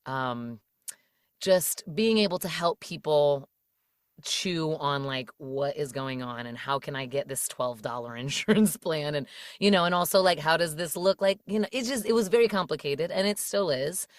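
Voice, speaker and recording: Low-voiced woman, chatty guest. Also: moderate pace, no room sound, studio quality. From Washington DC area. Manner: chatty, laughs often, many fillers. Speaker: podcast guest, voice actor. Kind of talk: casual interview chat.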